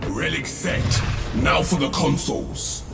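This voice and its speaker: An actor playing a grave, resolute tech-priest soldier in a game, speaking in terse, formal, solemn lines. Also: male voice, on the high side, a little slow, creaky. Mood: businesslike. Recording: loud music over the voice.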